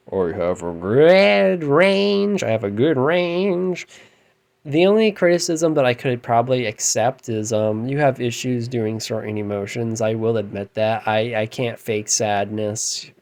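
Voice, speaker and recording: Brief: thirty-something man, light androgynous voice, mid-range pitch, rambling self-deprecating late-night rant. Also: gravelly. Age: 30s. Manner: frustrated, self-deprecating, trailing off and restarting; keeps his voice down, with loud bursts. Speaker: musician and vlogger.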